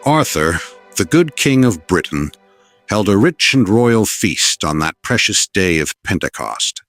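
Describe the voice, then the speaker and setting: A man narrating an old legend from a script in a measured, formal storytelling manner. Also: low-pitched, big pitch swings, a bit bright. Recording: voice over orchestral music.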